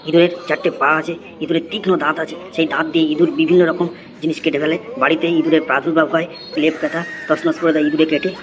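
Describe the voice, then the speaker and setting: A man's voice: fairly high pitch, level tone, rapid-fire, noisy background, lightly acted. A man voicing an eager schoolboy in a comedy cartoon, reciting essays by rote. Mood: rote, earnest.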